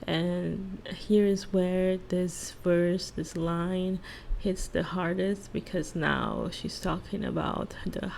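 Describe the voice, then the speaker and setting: A woman speaking softly in an ASMR monologue, hushed and halting, lingering on phrases and at times slipping into a whisper. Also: fairly low pitch, fairly even intonation, vocal fry, a little hiss or hum. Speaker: ASMR creator and host. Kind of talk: soft-spoken ASMR role-play monologue with song analysis.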